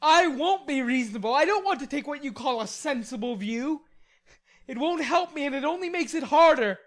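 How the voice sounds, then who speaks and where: A woman's voice: high-pitched, expressive, moderate pace, pitched above the performer's own voice. A woman voicing a lovelorn, hot-headed young man in an audiobook, impassioned, insistent and pleading. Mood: indignant. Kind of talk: audiobook character voice.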